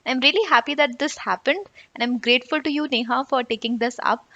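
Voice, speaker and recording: A woman hosting her channel, thanking a guest and gently encouraging her viewers. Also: fairly high pitch, steady tone, fast, no echo, muffled recording. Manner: warm, appreciative, gently encouraging. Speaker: channel host. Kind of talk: direct-to-camera closing remarks.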